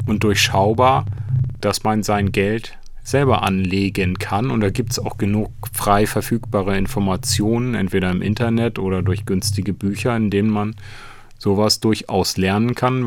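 Low-voiced man explaining, slightly creaky. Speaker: financial expert. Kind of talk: informal explanatory interview.

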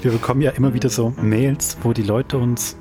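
A man's voice: mid-range voice, fairly even intonation, brisk, music in the background. A man with a Swiss German accent hosting a podcast in casual discussion. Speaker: podcast host.

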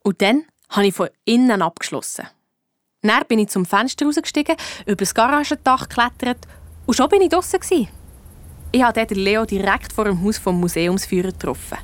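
A woman's voice: on the high side, expressive, a little fast, natural voice. A woman playing a young girl detective who narrates her own adventure confidingly in a radio play.

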